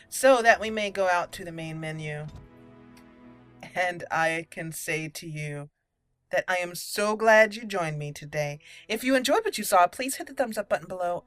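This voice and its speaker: A woman's voice: lowish voice, a bit bright. A woman hosting a let's play, chatting and thinking aloud as she roleplays through a game. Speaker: gaming channel host.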